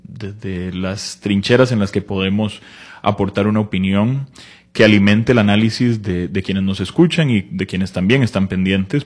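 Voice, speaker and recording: A Costa Rican man hosting a conversational interview program, courteous and measured, putting his questions in short pieces. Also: lowish voice, darkish tone.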